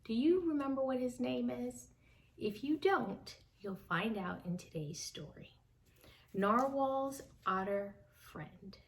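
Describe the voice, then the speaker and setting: A woman reading a picture book aloud to young children, with a fairly high voice. She talks slowly and lets her pitch rise and fall widely, pausing to speak directly to her listeners. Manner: warm, slow and expressive, addressing kids. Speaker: storytime reader.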